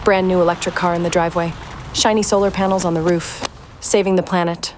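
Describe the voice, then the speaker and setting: Audio drama heiress, played by a woman: sardonic, suspicious, short on patience, lowish pitch, slight noise behind. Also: flat delivery, quick pace, close to an everyday voice. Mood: dry sarcasm. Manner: dry, sardonic, guarded. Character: wry, sarcastic, suspicious woman, impatient heiress.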